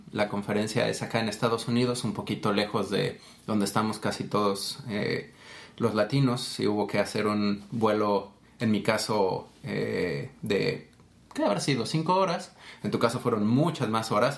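A man's voice: on the low side, gravelly. A man hosting a casual podcast interview, asking friendly, curious questions. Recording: roomy conference venue.

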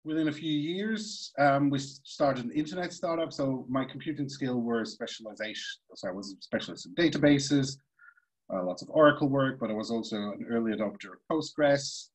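A man from Switzerland, a podcast guest, telling the story of his career in a relaxed, rambling way. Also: mid-range voice, moderate pace, slightly rough, low-quality recording. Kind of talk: conversational storytelling.

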